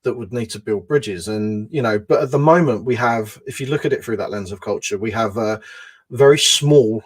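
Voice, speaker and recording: A man with a fairly low voice answers interview questions at length. He explains his ideas step by step and often lays them out as lists. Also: normal speed, slightly rough. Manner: explanatory, builds points in lists. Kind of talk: conversational interview.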